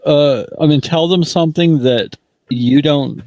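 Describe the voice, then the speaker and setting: A man's voice: a little high, unhurried, darkish tone. A man who teaches philosophy, joining an online voice-chat debate, searching for words as he explains.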